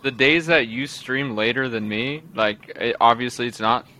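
A man's voice: medium pitch, moderate pace, pushed and bright, rough recording. A man co-hosting a podcast, rambling and apologetic in casual chat, with many restarts. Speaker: podcast co-host, streamer.

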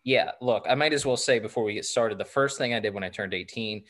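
A man in his twenties co-hosts a casual sports podcast in a fairly low voice. He jokes around and rambles, padding his talk with plenty of ums and uhs. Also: quick pace, fairly bright tone. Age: 20s. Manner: joking, rambling with many fillers. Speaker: podcast co-host.

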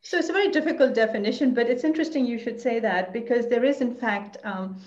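A woman's voice: fairly high pitch, fast talker, darkish tone, reverberant, muffled recording. A woman commentator answering interview questions thoughtfully, with many fillers and restarts. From Belgium.

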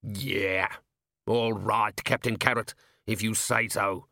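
A man's voice: on the low side, steady tone, slow, gravelly, bright, forceful tone, no room sound, clean studio sound, exaggerated voice. A man voicing a grumpy, hot-tempered male dwarf baker in a storybook, blustering with indignation. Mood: gruff, grudging.

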